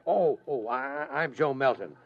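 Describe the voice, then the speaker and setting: A man's voice: mid-range voice, lively intonation, a little slow, dull audio. An American man playing a brash, wisecracking, blustering movie director in a 1950s radio drama. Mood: hearty, jovial. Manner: blustering, jokey, slangy. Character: brash, wisecracking Hollywood film director, loud and hearty. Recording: old-time radio recording.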